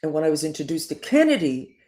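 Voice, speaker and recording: An older woman delivering a rambling, emphatic monologue, her pitch swinging widely up and down. She circles back on her points and presses hard on certain words, in the manner of a spiritual teacher talking straight to her viewers. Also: quick pace. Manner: digressive, emphatic, lively up-and-down delivery.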